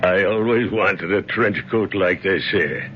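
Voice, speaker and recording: A man's voice: low-pitched, unhurried. An actor playing a mocking gangster henchman in a 1970s radio drama, with taunting tough-guy talk. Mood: cool menace. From Naples, Italy. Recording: muffled, noisy 1970s radio broadcast.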